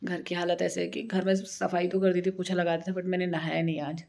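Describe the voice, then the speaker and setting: Woman, 30s, home vlogger, unwell with a sore throat, tired chatty delivery into a handheld phone, slightly low pitch. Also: flat delivery, a little fast, gravelly, darkish tone. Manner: tired, chatty, talks to viewers while doing chores. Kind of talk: casual vlog chat to camera. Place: small home kitchen and rooms, indoors. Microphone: phone held at arm's length.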